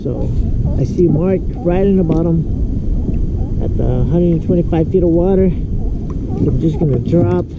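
A young man narrating casually while fishing from a kayak on the ocean, talking slowly with many fillers, recorded on an action camera. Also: on the high side. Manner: slow, halting, many fillers. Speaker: kayak angler vlogger. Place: kayak on open ocean outdoors. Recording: muffled camera audio, loud wind and water. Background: wind and water.

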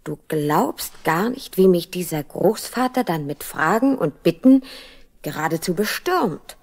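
Slow, reflective young letter writer. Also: female voice, fairly low pitch, lively intonation, a little mellow. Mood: thoughtful. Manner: reflective, unhurried, intimate. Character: lonely young woman trainee writing to her mother. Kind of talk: radio play letter narration.

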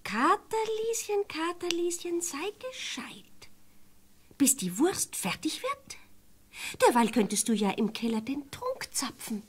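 An actress playing a naive, well-meaning and scatterbrained young peasant wife in a fairy-tale radio play, chatting artlessly. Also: female voice, high-pitched, sing-song, slow pace, full character voice. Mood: musing. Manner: artless, chatty, talking to herself.

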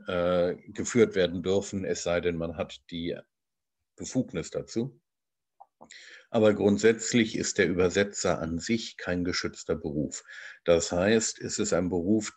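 Bilingual guy on a video call, low voice, explains things slowly, lots of little pauses. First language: bilingual German and English. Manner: measured, explanatory, with frequent hesitations. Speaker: translator giving a talk. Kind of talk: online lecture over slides.

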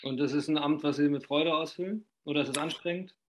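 Middle-aged German interviewer on a video call, slightly high voice, enthusiastic and rambling. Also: male voice, fast. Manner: chatty, enthusiastic, laughs often, rambling questions. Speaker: interviewer, fanzine host. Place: home room indoors.

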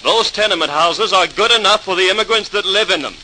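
An actor playing a haughty, callous landlord in an old-time radio drama, dismissive in manner. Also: male voice, high voice, a little fast, music in the background, lightly acted, low-quality recording. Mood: haughty, defiant.

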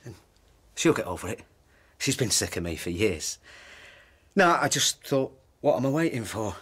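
A man acting in a radio drama as a weary, earnest husband who hesitantly justifies himself, his voice husky. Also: lowish voice, sing-song, normal speed, a bit bright, exaggerated voice. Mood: self-justifying.

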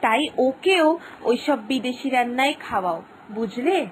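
Woman doing a know-it-all village gossip for a cartoon, high chatty voice, slight noise. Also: lively intonation, moderate pace, close to an everyday voice. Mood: advising, gossipy. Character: gossipy village wife, confidently giving advice. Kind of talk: cartoon story acting.

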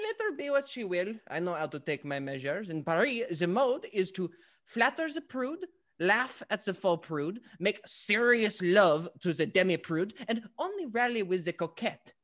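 A man playing an affected, excitable, French-mad fop in a stage comedy, effusive and giggly. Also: sits high, full character voice. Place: indoors at home on video call.

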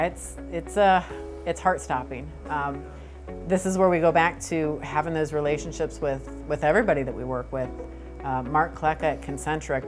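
Middle-aged woman exec, lowish voice, lapel mic, music bed underneath. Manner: conversational, many fillers and restarts. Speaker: company president. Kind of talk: shop tour interview. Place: studio set, then machine shop floor.

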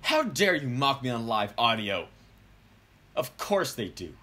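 A man playing a proud old race driver in a comedic radio play, husky, pompous and blustering. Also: medium pitch, big pitch swings, measured pace, bright, forceful tone, heavily acted. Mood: indignant.

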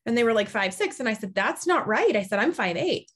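A woman's voice: fairly high pitch, brisk, slightly rough. A woman in her forties hosting a casual group chat over a video call, telling stories and acting out what people said. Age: 40s. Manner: chatty, animated storyteller who acts out quoted people. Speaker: podcast host. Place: home room, indoors.

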